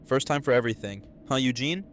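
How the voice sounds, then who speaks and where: A man's voice: medium pitch, fast talker, a little hiss or hum, lightly acted. A man playing a mocking henchman at a game table, blunt and sarcastic, in an audio drama.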